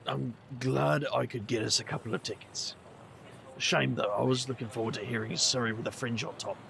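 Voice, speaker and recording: Guy acting a tired sarcastic adventurer, dry delivery, croaky fry in the voice, audio drama. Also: medium pitch, steady tone, fairly quick, a bit bright, a little hiss or hum. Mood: sardonic, weary. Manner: dry, world-weary, sarcastic. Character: weary, sardonic supernatural adventurer with family baggage.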